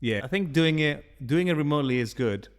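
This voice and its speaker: A man's voice: a little high, animated, brisk, clear, resonant tone. A man hosting a podcast, chatting casually and joking with his guest. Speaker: podcast host. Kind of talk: casual chat. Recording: long reverb.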